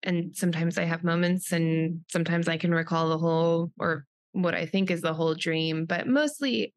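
A woman's voice: lowish voice, no room sound. Reflective Polish-born woman, dry close sound. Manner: reflective, searching for words with many "like"s. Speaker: guest. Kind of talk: podcast interview.

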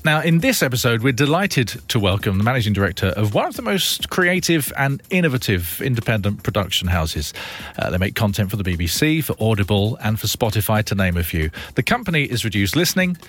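A man's voice: fairly low pitch, sing-song, a little fast, fairly bright tone. A man hosting a podcast, delivering a lightly scripted introduction. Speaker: podcast host.

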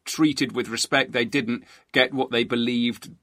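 A man's voice: mid-range voice, steady tone, measured pace, pushed and bright, no echo, studio quality. A man with a British accent hosting a history podcast, presenting and interviewing in an enthusiastic, emphatic way.

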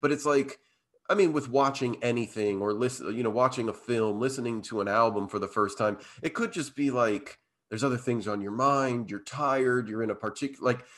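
A man co-hosting a podcast in a casual chat, slightly hoarse and blocked up, rambling with lots of fillers and restarts. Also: mid-range voice, a little fast, fairly bright tone. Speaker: podcast co-host.

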